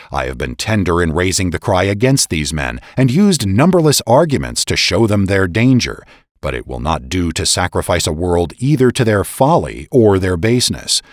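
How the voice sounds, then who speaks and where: A man's voice: lowish voice, no echo, clean studio sound. A man giving a dramatic reading of a historical pamphlet as formal oratory, with emphatic, rhetorical delivery.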